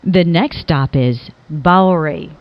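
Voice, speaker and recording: A woman reading scripted automated subway announcements in a measured, clearly enunciated way, with phrases pieced together. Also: sits low, dry recording. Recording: dull lo-fi recording.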